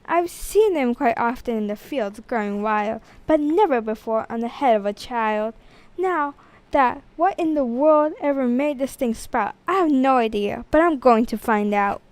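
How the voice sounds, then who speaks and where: A woman plays a wise, self-assured school principal from a children's storybook. The voice is high for the part, pondering and declaring things, recorded dry. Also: animated, a little slow, dry recording. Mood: astonished.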